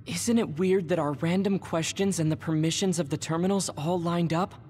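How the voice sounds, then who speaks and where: A woman's voice: lowish voice, moderate pace, darkish tone, close to an everyday voice. Cool, analytical young female soldier played by a woman. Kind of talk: scripted video game voice acting.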